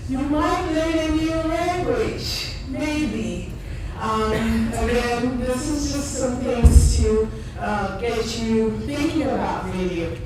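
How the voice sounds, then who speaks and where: A woman's voice: on the high side, slow pace. A woman from Cameroon with a Cameroonian accent, giving a chatty conference talk and joking with the audience. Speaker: conference presenter. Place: conference room. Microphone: handheld or stand microphone in a room. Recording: distant echoey room recording with noise.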